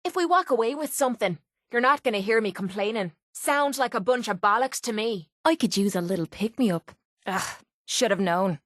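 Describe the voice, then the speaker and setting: A woman's voice: a little high, animated, normal speed, no echo, clean studio sound. An actress playing a brash, sarcastic, hard-drinking young woman brawler in a video game, with an Irish accent and a blunt, mocking way of talking. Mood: dry, thirsty. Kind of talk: video game companion voice lines.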